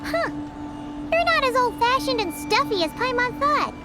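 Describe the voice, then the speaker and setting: A woman voicing a small, excitable floating fairy girl in a video game, chirpy and cheeky with a squeaky childlike voice. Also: normal speed. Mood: curious, cheeky. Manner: chirpy, cheeky, refers to herself in third person. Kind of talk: video game character dialogue. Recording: music playing under the voice.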